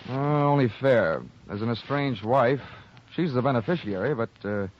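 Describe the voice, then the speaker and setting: A man's voice: medium pitch, expressive, moderate pace, slight background noise, close to an everyday voice. An American man playing a wisecracking hard-boiled insurance investigator in a sardonic, flippant 1940s radio detective style. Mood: dry, flippant. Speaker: lead detective and narrator. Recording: dull lo-fi 1940s radio recording, faint music.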